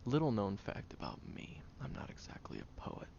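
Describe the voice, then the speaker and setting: A man playing a guarded, prickly young tsundere caring for a sick friend in an intimate audio roleplay, speaking haltingly, stern yet caring, often hushed. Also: on the low side, huge pitch swings, vocal fry, dull audio, noisy background, exaggerated voice. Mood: vulnerable.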